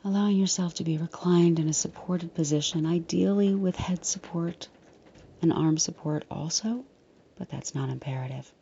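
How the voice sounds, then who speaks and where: Female meditation guide, low and mellow, soft breathy near-whisper, reassuring and unhurried. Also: highs cut off, slight background noise. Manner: soothing, unhurried, gently reassuring.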